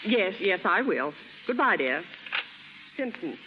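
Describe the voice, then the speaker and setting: A woman's voice: mid-range voice, big pitch swings, takes it slowly. An actress playing a stern, snobbish middle-aged society mother in a 1930s radio drama, haughty and scolding. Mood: puzzled, formal. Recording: scratchy, muffled, echoing 1930s radio recording.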